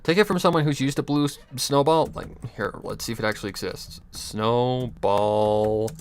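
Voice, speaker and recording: A man's voice: medium pitch, moderate pace, a little hiss or hum. A young man casually chatting on a livestream, giving rambling advice with restarts, chuckles and offhand swearing into a USB desk mic. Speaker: streamer. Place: home computer desk.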